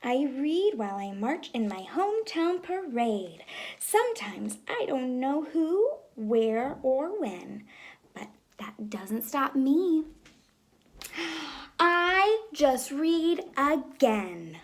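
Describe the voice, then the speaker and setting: A woman teacher reading a picture book aloud in an expressive way, speaking to young children. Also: high voice, slow pace. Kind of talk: children's story read-aloud. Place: room at home with reading chair.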